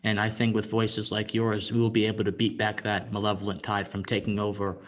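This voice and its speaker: A man's voice: lowish voice, completely flat delivery, brisk. A man hosting a political interview podcast, mostly offering brief affirmations. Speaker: podcast host.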